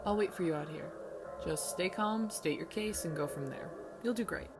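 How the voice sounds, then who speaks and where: A woman's voice: lowish voice, normal speed, background noise, natural voice. A woman acting as a wry, worried but supportive doctor and friend in an audio drama. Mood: reassuring.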